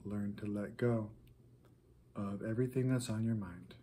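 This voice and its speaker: Low soft guy leading a meditation, super slow, faint hiss behind. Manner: slow, soft-spoken, with long pauses. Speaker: meditation guide. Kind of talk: guided meditation.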